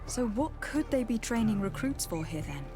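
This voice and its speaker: An actress playing a composed, diplomatic noblewoman investigator, speaking calmly and with measured words in video game dialogue. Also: fairly high pitch, over music. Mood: probing. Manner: calm, measured, probing.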